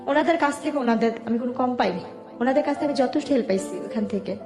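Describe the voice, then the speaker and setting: A woman's voice: on the high side, fast talker. A woman with a Bangladeshi accent giving personal testimony in colloquial, conversational speech, narrating step by step and quoting what people said. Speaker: interview guest telling her life story. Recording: echoey room with music underneath. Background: background music.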